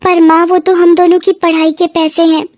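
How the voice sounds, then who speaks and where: A woman's voice: very high-pitched, little pitch movement, moderate pace, a little mellow, dry recording, clean studio sound, voice pushed up. A woman voicing an earnest, emotional young stepdaughter, dutiful but brave, in a moral story. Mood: surprised, hesitant.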